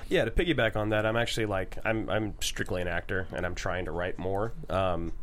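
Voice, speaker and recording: Male panelist, lowish voice, casual, inquisitive, conversational. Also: fairly quick, a bit bright, a little hiss or hum. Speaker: actor on a discussion panel, asking questions.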